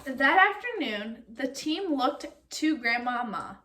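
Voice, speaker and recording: A woman reading a picture book aloud like a teacher, expressive and speaking directly to young listeners. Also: high-pitched, slow pace. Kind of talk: read-aloud storytelling with comprehension questions.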